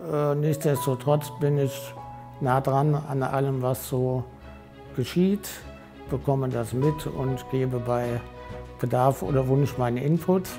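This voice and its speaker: Man, fifties, slow pace, gravelly creak, interview style, music behind. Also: mid-range voice, fairly even intonation. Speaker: board member and co-founder. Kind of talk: interview self-introduction for a promotional film. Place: bright meeting room indoors.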